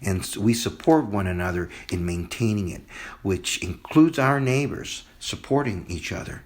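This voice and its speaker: An idealistic male visionary chef, acted by a man in a homemade audio drama. He is courteous and measured, explaining his ideas to a president in an everyday voice. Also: fairly low pitch, animated, close to an everyday voice. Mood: calm, idealistic.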